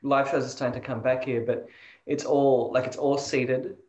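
Man, Australian accent, hesitant and repetitive, laughs a lot, middle pitch, echoey room. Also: level tone, normal speed, darkish tone. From Sydney, Australia. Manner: hesitant, repeats words, laughs often. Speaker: band member guest. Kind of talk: casual interview chat. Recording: roomy echoey sound.

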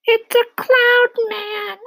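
Man as shrill, very high-pitched fretful lady spider. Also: flat delivery, extremely slow, vocal fry, sharp tone, dry recording, exaggerated voice, rough recording. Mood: panicked. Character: anxious, fretful female spider, Miss Spider.

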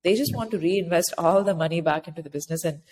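A woman's voice: on the low side, quick pace, darkish tone. A woman speaking as an interview guest, giving practical, explanatory advice in a conversational style. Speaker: interview guest, author advising immigrant founders. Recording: echoey hall-like reverb, clear background noise.

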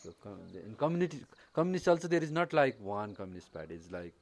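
A man's voice: medium pitch, big pitch swings. A man from Nepal with a Nepali accent, speaking English in a casual interview, hesitant and full of fillers and restarts. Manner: halting, many fillers and restarts. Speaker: interviewee, paraglider. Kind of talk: casual conversation.

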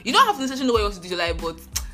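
A woman's voice: mid-range voice, very animated, very fast, pushed and bright, faint music behind. A young woman co-hosting a casual chat, teasing and giggling. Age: young adult.

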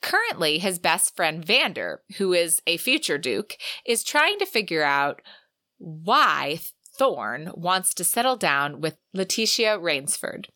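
A woman's voice: lowish voice, big pitch swings, takes it slowly, pushed and bright, dry recording, clean studio sound. A woman co-hosting a book podcast, mixing casual chat with a read-out synopsis. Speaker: podcast co-host.

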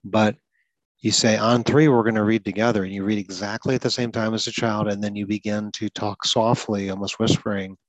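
A man's voice: on the low side, steady tone, brisk, dry recording, poor audio. A man who stutters, hosting a talk about school support, explaining things earnestly with occasional repeated words. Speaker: podcast host.

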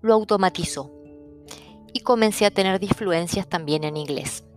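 A middle-aged woman from Argentina with an Argentine accent, calmly explaining things in a personal talk. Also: mid-range voice, normal speed, soft background music. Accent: Argentine Spanish. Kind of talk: personal talk on stuttering and languages.

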